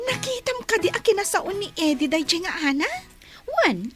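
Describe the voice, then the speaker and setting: A woman's voice: very high voice, sing-song, moderate pace, over music. A woman playing a jealous, mocking young woman in a radio drama, with exaggerated, teasing delivery.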